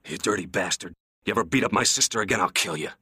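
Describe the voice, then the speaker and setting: A man's voice: sits low, quick pace, sharp tone, breathy edge, no echo, studio quality, exaggerated voice. A man voicing a furious, threatening male gangster in an audiobook. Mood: furious threat. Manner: menacing, rage-choked. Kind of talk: audiobook character dialogue.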